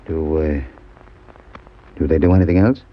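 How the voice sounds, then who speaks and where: A man playing a big, shifty pool parlor owner of about forty in a radio crime drama, with a husky voice and an urgent, insistent manner. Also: extremely low pitch, normal speed. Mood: uneasy, furtive. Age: middle-aged. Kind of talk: old-time radio crime drama acting. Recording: dull vintage radio recording.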